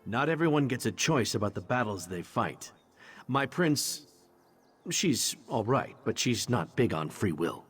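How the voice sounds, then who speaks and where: An actor playing a charming, candid knight in a fantasy video game, wry and conversational, hesitant when confiding. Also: male voice, mid-range voice, very animated, unhurried, slightly rough. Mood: reflective, wry. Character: charming, candid male knight champion of a goddess. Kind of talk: video game character dialogue.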